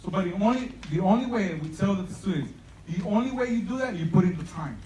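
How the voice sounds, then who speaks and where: A man's voice: sits high, lively intonation, fast, mellow. A man from El Salvador giving an emphatic motivational speech as a program founder, often checking that listeners agree. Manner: impassioned, emphatic, seeks agreement with "right?". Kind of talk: motivational public speech to parents and students. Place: large room at a live event. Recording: roomy, distant mic, faint noise.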